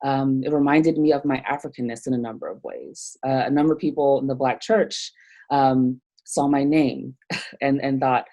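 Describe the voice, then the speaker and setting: A woman from Nigeria talks things through in an interview, pausing often and restarting her thoughts. Her voice sits very low and sounds mellow and dark. She speaks reflectively rather than with polish. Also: fairly even intonation. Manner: thoughtful, hesitant, many fillers and restarts. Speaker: theologian guest.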